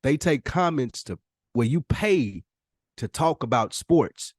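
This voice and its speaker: A man's voice: medium pitch, expressive, slow pace, no room sound, studio quality. A man hosting a podcast in casual conversation, speaking candidly and in a self-effacing way. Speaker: podcast host.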